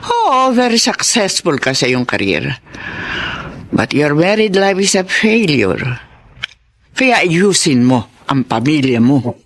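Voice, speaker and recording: A man's voice: a little high, big pitch swings, slow pace, a bit bright, heavily acted. A man with a Filipino accent playing an older, admonishing, protective parent of a grown son in a radio drama. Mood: earnest advising. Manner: preachy, emphatic.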